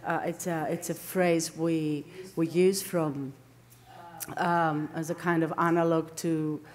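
A middle-aged woman with a Greek accent lectures at an academic seminar. Her voice sits a little low, and she speaks haltingly, often searching for the right word and correcting herself as she explains. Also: little pitch movement, a little mellow. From Greece. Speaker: academic lecturer, landscape architect. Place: indoor lecture room, at a lectern.

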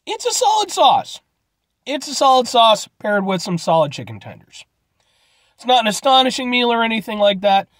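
A man in his thirties talks in a high, swooping voice, slightly congested from a cold. He rambles loosely through a casual food review, gesturing as he goes. The sound is close and dry, from a phone held at arm's length inside a parked car. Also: no echo. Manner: rambling, animated, gestures a lot. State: stuffed up from a cold. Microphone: phone camera at arm's length.

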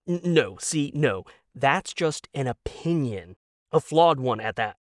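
A man's voice: mid-range voice, animated, slow, dry recording, clean studio sound, pitched above the performer's own voice. A man playing a defensive, petulant young husband in an audio drama, argumentative and hesitant, stammering as he makes his points. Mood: defensive, explaining.

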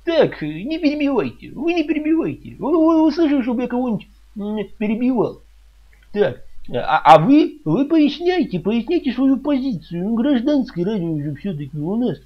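A man's voice: sits high, sing-song, normal speed, lo-fi sound. A man playing a fussy, pompous, flustered radio host who stammers and hesitates in a comedy sketch. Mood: peevish.

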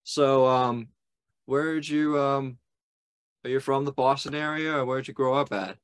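A man, hosting a casual interview in an informal, halting way. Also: mid-range voice, steady tone, clear, resonant tone, no echo, studio quality. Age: 20s. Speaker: webcast host, interviewer. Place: plain indoor room. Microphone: video call.

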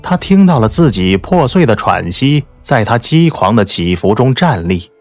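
A man's voice: medium pitch, very animated, normal speed, a little mellow, soft background music. A man reading scripted dramatic narration from a romance novel. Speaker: audiobook narrator.

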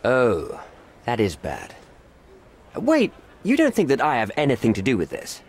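Casting: middle-aged diplomat in a video game, cornered and evasive, highly animated intonation, placating and formal. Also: male voice, measured pace, vocal fry, husky, full character voice. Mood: anxious, pleading. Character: cornered middle-aged British envoy, evasive, smooth-talking, pleading. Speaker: British envoy. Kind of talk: video game cutscene acting.

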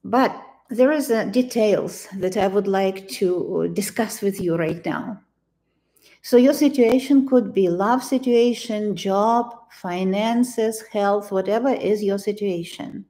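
Slow-speaking older woman with Ukrainian accent. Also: mid-range voice, warm tone. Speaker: tarot reader. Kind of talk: tarot reading to camera. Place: living room, indoors.

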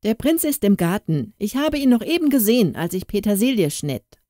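A woman voicing a cheeky young kitchen boy, mocking and quick. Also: mid-range voice, big pitch swings, dark tone, no room sound, clean studio sound, pitched above the performer's own voice, lightly acted. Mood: jeering.

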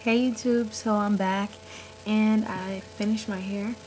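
A woman's voice: medium pitch, fairly even intonation, slow pace, a little mellow, a little hiss or hum, poor audio. A young woman chatting casually to her webcam at home, with many ums and likes. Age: young adult. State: slightly hoarse. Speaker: vlogger. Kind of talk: casual vlog chat. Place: bedroom at home, indoors. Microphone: webcam built-in mic, close.

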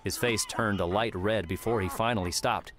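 A man's voice: on the low side, normal speed, fairly bright tone, slight background noise. A man narrating a scripted story as an audiobook narrator.

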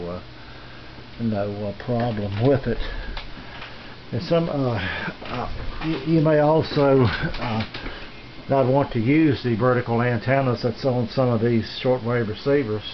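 Man, medium pitch, hesitant and rambling, folksy hobbyist narration, casual, handheld camera mic, muffled lo-fi sound. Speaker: amateur radio hobbyist presenting his equipment. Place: small indoor home radio room. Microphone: handheld camera's built-in mic, behind the lens. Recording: dull lo-fi camera audio, noisy room.

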